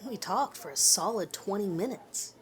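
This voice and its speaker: A woman narrates a scripted story in the first person, reading it aloud as the storyteller. Her voice sits at an average pitch for a woman. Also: lively intonation, normal speed.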